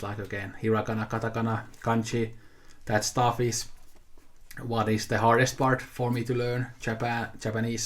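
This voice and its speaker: A young man chats into the camera in a loose, unhurried ramble. His pitch sits in an ordinary middle register and barely moves, giving a level, monotone delivery. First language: Finnish. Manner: easygoing, rambling, with frequent fillers and restarts. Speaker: video host showing a haul. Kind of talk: casual unscripted talk to camera.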